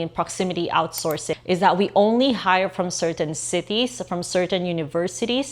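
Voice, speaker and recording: A woman, talking straight to camera about her outsourcing business in an earnest, emphatic way. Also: lowish voice. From Philippines. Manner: earnest, emphatic, repeats for stress. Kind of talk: direct-to-camera business talk.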